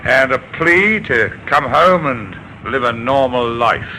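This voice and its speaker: A man playing a plodding, deferential police sergeant in an old radio crime drama, dutiful and halting. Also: medium pitch, expressive, bright, forceful tone. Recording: faintly noisy old radio recording.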